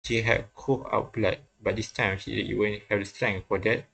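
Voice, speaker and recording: Low, creaky male visual novel reader. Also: little pitch movement, normal speed, a bit bright. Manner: often stumbles and repeats phrases. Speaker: host reading a visual novel aloud. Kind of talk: halting read-aloud narration of game text.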